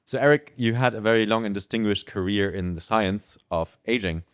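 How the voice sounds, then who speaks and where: A man's voice: lowish voice, a little fast, clean tone, dry recording. A man hosting a podcast, introducing and questioning a guest in a conversational interview style. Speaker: podcast host.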